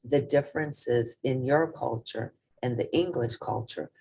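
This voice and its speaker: A woman reading a children's picture book aloud in an expressive, deliberate and emphatic storytelling manner. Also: a bass voice, gravelly, slightly rough. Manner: deliberate, emphatic storytelling with spoken asides to listeners.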